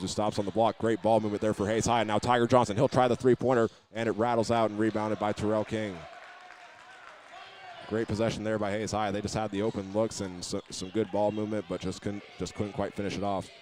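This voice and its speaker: Male American basketball announcer, very even delivery, steady move-by-move live call over clearly audible gym noise. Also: lowish voice. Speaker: play-by-play sports announcer. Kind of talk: live basketball radio commentary. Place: basketball game in a gymnasium.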